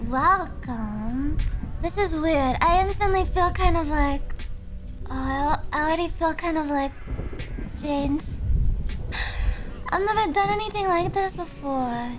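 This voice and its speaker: A young woman streaming, chatting softly and hesitantly with viewers with many fillers. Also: sits high, unhurried, pauses often, noisy background. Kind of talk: casual chat with viewers. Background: faint background music.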